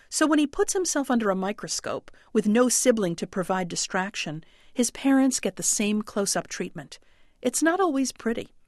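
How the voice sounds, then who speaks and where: A woman's voice: mid-range voice, a little mellow, no room sound, clean studio sound. Woman reading a wry radio book review. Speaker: book critic.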